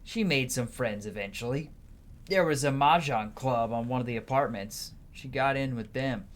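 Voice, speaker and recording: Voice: medium pitch, moderate pace, natural voice. A game player voicing a jaded, sweary island delinquent with a troubled past, in a blunt, sardonic, rambling way. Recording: clearly noisy background.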